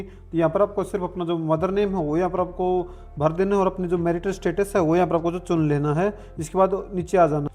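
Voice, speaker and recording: A man's voice: high-pitched, a little mellow. A man narrating a step-by-step tutorial in an instructional way, with repeated filler phrases. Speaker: online form tutorial presenter.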